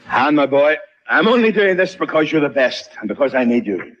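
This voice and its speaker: A man's voice: a little high, very animated, a little fast, fairly bright tone, dull audio. A man playing a smug, threatening alien gangster boss in film dialogue. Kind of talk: film dialogue played from a clip.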